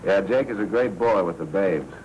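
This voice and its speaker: A noisy old film soundtrack carries a 1940s American noir mob boss. His voice is low, and he talks in tough slang with a menacing, needling, sardonic edge. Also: lively intonation, normal speed, muffled recording. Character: menacing, sardonic crime boss, jealous husband.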